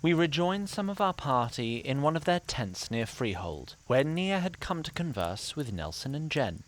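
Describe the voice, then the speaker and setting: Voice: medium pitch, very animated, moderate pace, low-quality recording. A narrator telling a fantasy adventure story in a scripted, dramatic storytelling style.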